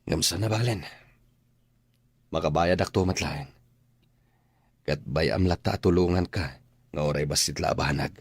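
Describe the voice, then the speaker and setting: A man playing a weary, caring working family man in an Ilocano radio drama, husky and a little grumbling. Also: extremely low pitch, a little slow, pauses often, dry recording, clean studio sound, heavily acted.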